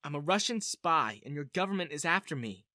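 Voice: medium pitch, animated, fairly quick, sharp tone, dry recording, clean studio sound. A narrator voicing an earnest, determined woman spy with an urgent manner, in storybook dialogue. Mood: earnest, resolute. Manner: pleading, urgent, resolute. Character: earnest, determined female Russian spy seeking shelter. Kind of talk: audiobook-style character dialogue.

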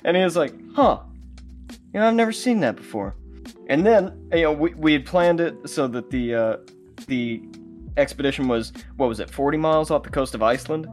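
A man's voice: a little high, brisk. A man chatting casually as an audio drama creator, acting out remembered exchanges as he tells behind-the-scenes stories. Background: guitar music.

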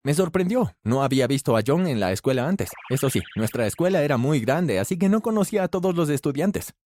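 Male narrator for a first-person story, fairly high voice with a lively, swinging tone; faint background noise. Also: brisk. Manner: animated storytelling, shifts pitch for characters' lines.

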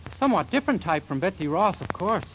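A man's voice: high voice, sing-song, fast talker, a bit bright, exaggerated voice, rough recording. An actor playing a naive, bashful young man with an American accent, earnest and guileless, in 1940s radio sitcom style. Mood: sheepish, earnest. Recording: hissy 1940s radio recording.

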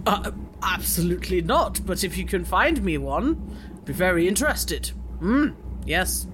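A woman playing a curious, sceptical scientist, halting yet precise and formal, in an improvised role-play. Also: on the low side, sing-song, noisy background. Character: brisk, curious, sceptical female scientist investigator.

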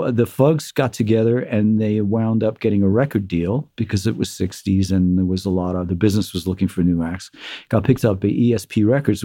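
Mellow-voiced man telling stories. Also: lowish voice, no echo, studio quality. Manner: animated storyteller, acts out quotes, many fillers. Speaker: guest, musician. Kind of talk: conversational interview storytelling.

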